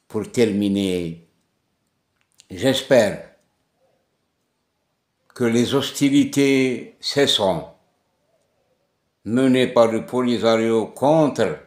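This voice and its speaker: A man's voice: lowish voice, very slow, pauses often, a little mellow. A man from Kabylie, delivering an impassioned political monologue and repeating words for stress. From Kabylie, Algeria. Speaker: political commentator. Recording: echoey room.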